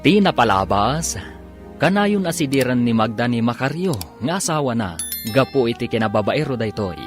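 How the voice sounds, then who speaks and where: A man with a Filipino accent announces a radio serial over prominent music. His mid-range voice moves in big, animated swings, with theatrical scripted narration. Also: mid-range voice, moderate pace.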